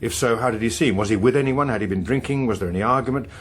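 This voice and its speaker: An actor playing a middle-aged British politician and father, measured, probing and controlled, in naturalistic radio drama. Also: medium pitch, slight background noise, natural voice. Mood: firm, probing.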